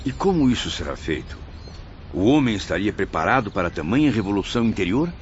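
A man's voice: on the low side, very animated, fairly quick. A man acting a humble, earnest seeker questioning his mentors in a radio drama, formally, with a Brazilian accent. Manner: formal, inquiring. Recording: dull lo-fi recording, background noise.

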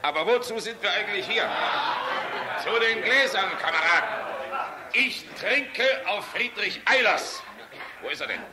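An actor in a German radio play voicing a jovial, irreverent, sharp-tongued middle-aged air force general. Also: male voice, high voice, animated, measured pace, pauses often, a crowd in the background. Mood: hearty toasting. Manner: jovial, sardonic, blunt.

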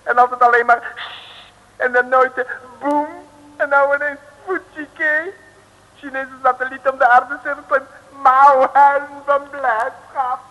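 An actor playing an excitable foreign official who speaks broken Dutch and gushes with praise, in a 1950s radio comedy. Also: male voice, extremely high pitch, a little slow, full character voice. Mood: gushing. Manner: broken grammar, gushing praise. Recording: old radio recording, long reverb.

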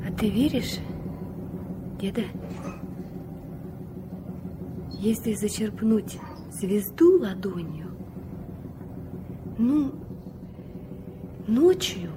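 An actress in a Soviet radio drama playing a dreamy, playful young girl, a granddaughter full of wondering, coaxing questions. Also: mid-range voice. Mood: hushed wonder. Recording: noisy 1970s radio play recording.

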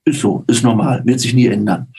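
A man's voice: medium pitch, fairly quick, gravelly, dark tone, breathy edge. A man speaking as an interview guest, answering conversationally in an anecdotal way and often tagging on little questions. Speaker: interview guest, audio drama author.

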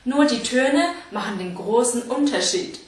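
A woman from China, a language teacher giving an online lesson in a slow, clearly enunciated, teacherly way. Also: on the high side, expressive. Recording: echoey room, distant mic.

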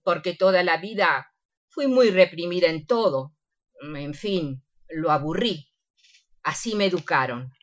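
Prim Argentine older widow, acted by a woman, lively pitch. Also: a little slow, lo-fi sound. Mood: wistful, resigned. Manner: coaxing, chatty, fond of diminutives. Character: prim, fussing older widow, meek and self-sacrificing. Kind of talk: radio theatre comedy acting.

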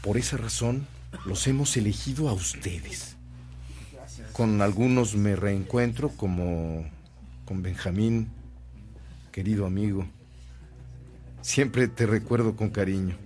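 A slow, low-voiced earnest Mexican priest. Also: male voice, darkish tone. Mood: solemn, heartfelt. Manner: solemn, deliberate, sincere. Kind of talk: radio drama acting.

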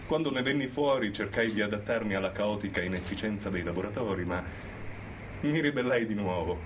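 A man's voice: lowish voice, lively intonation, fairly quick, slight background noise, lightly acted. An actor playing a bitter, educated, middle-aged male prisoner, brooding and insistent, in dramatic radio theatre. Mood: grave, defiant.

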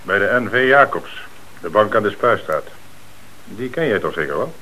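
Police commissioner: deep, forceful, brisk and in charge; vintage radio play with noisy hiss. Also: male voice, lively intonation. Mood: stern questioning. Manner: authoritative, methodical. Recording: hissy old radio recording.

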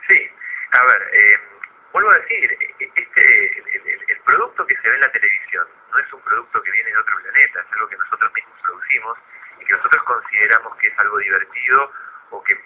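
An Argentine man, a guest psychologist in a radio interview, explaining in a didactic but halting way with repeated words. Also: fairly low pitch, lively intonation, a little hiss or hum. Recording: phone-like narrow radio line.

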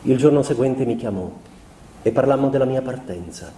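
An introspective young man weighed down by guilt played by a male stage actor confesses in a literary theatrical monologue. His voice is dark and mellow, his recitation measured and expressive. The sound is very roomy, with a light layer of background noise. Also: medium pitch, natural voice. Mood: melancholy, remembering.

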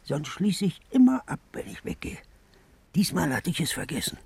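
A man's voice: on the high side, huge pitch swings, moderate pace, creaky, darkish tone, slightly rough, dry recording, whispered, exaggerated voice. A man acting an anxious, suspicious, secretive character in a 1950s radio play, speaking in a nervous, confiding way. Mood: worried, suspicious. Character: anxious, secretive man clutching his money, fearful, suspicious.

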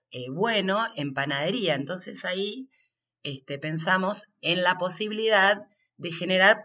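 An Argentine woman speaks as an interviewed coordinator, with a bright, clear-edged tone. She takes her time, feeling her way through explanations. The recording is a little dull. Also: on the low side. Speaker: workshop coordinator being interviewed. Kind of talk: unscripted interview answers.

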